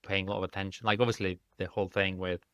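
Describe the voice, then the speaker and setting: A man from Russia whose first language is Russian, speaking English in a thoughtful, halting way with many restarts as an indie game developer guest. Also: sits low, flat delivery, a bit bright, clear, resonant tone, dry recording. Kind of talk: casual interview conversation. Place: lives in the UK.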